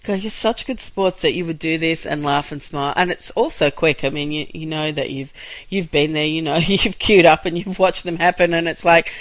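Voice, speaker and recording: A woman co-hosting a podcast in casual chat, a little croaky from a cold, talking in an enthusiastic, rambling way. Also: low-pitched, moderate pace, dry recording. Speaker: podcast co-host.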